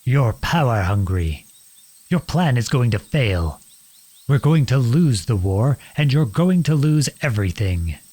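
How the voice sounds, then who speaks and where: Man acting as a husky, slow-speaking defiant young prince. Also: mid-range voice, big pitch swings, mellow. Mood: bitter, defiant. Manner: earnest, deliberate, pleading and accusing. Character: defiant outlawed young prince confronting his cruel king father. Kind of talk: dramatic audio roleplay acting.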